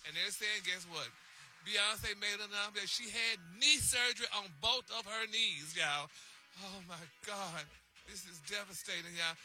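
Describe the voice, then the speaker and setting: A man plays a sassy, flamboyant gossip reporter on a comic radio show, high and very bright, gushing with drama and calling everyone honey. Faint music hums beneath him. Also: normal speed. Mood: gossipy. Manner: gushing, dramatic, peppers talk with "honey" and "y'all".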